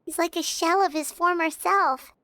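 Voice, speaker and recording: A woman's voice: very high voice, normal speed, pushed and bright, full character voice. A woman voicing a tiny, chatty female floating companion who talks about herself in the third person. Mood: sympathetic. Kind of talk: video game voice acting.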